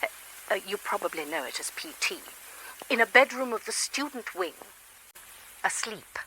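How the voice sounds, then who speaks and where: A woman's voice: mid-range voice, lively intonation, moderate pace, heavy background noise, lightly acted. An actress playing a wry, self-deprecating middle-aged British lady psychologist, narrating a radio drama in a chatty, confiding way. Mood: wry, candid. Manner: chatty, dryly humorous, confiding.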